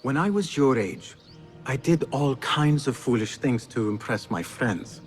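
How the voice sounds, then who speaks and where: An actor playing an earnest, kind and modest young assassin in a video game cutscene. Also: male voice, medium pitch, expressive, a little slow, faint music behind, close to an everyday voice. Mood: gentle.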